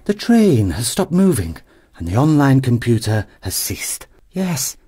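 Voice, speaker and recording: A haughty male robotics designer in an audio drama. His voice is husky and swings widely in pitch as he sneers, full of sarcastic exasperation. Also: big pitch swings, measured pace, mellow. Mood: sarcastic, irritated.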